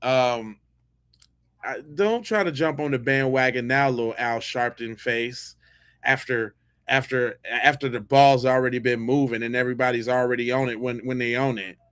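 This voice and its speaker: A man's voice: mid-range voice, normal speed, a bit bright, clean tone, no echo, studio quality. A man hosting a podcast with slangy, mocking, unfiltered live reaction commentary. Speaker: podcast host.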